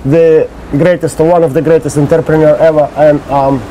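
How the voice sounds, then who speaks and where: Higher-voiced Russian man interviewing. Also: flat delivery, dark tone, slight background noise, rough recording. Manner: halting, simple phrasing in imperfect English. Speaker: interviewer and show host. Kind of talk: casual interview. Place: recorded on location on an island.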